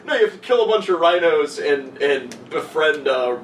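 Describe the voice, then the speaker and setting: A young man with a slightly high voice trades goofy, sweary riffs in casual podcast chat. The sound is very roomy, as if he sits back from the microphone, over faint noise. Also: animated, normal speed, a bit bright, far from the mic. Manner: goofy, profane riffing. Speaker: podcast co-host. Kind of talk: casual chat among friends.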